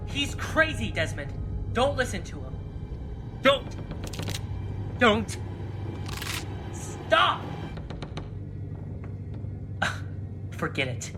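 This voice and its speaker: An actor performs a disguised monster in an audio drama, pleading and accusing. The delivery is very slow and swings widely in pitch. Music plays clearly behind it. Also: very animated. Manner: pleading, insistent. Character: monster disguised as their friend Garrett, pleading, insulting.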